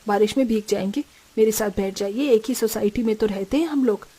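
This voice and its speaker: A woman's voice: medium pitch, a little fast, warm tone, husky, lightly acted. A woman voicing a bossy, gossipy but caring older mother-in-law in a Hindi cartoon story. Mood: kindly.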